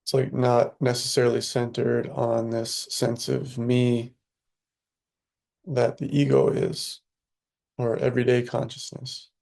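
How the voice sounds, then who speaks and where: Slow, creaky-voiced man guiding meditation. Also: mid-range voice. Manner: calm, unhurried, with long pauses. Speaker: meditation teacher. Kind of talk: guided meditation.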